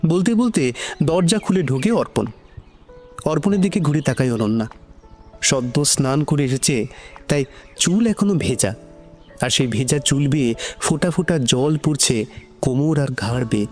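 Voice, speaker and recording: A man's voice: a little high, lively intonation, normal speed, pauses often, a little mellow, faint music behind. A man narrating an emotional romantic story from a script.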